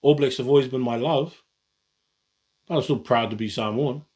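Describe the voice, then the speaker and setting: Kiwi guy rambling on in a solo vlog, keeps correcting himself, mid pitch. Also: normal speed, a little mellow. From New Zealand. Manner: rambling, frequent restarts and self-corrections. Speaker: vlogger. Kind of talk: casual solo talk.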